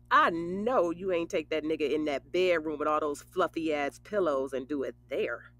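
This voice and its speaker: Casting: female actor as nosy, blunt young bestie, slangy teasing, big pitch swings. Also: very animated, takes it slowly, a bit bright, dry recording, heavily acted. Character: brash, teasing, nosy young best friend. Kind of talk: audio drama dialogue.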